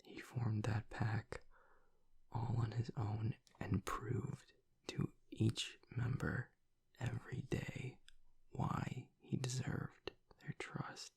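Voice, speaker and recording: A man playing a grieving young werewolf boyfriend, tough but vulnerable, choked up and halting as he confides in an intimate audio roleplay monologue. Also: fairly low pitch, flat delivery, darkish tone, heavily acted. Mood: reverent, sorrowful. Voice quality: breathy, gravelly near-whisper. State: choked up with grief.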